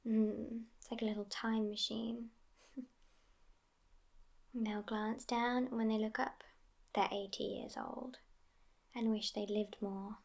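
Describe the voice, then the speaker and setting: Woman acting as sassy vampire girlfriend, ASMR roleplay, hushed and whispery, creaky fry, languid, affectionate. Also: mid-range voice, little pitch movement, slow pace, pauses often. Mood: musing, wry.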